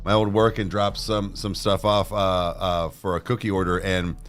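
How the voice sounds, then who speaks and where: A man's voice: deep voice, little pitch movement, normal speed, faint music behind. A man co-hosting a casual livestream who rambles through his thoughts with many "like"s and restarts. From Ohio. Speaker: co-host of a reaction channel livestream.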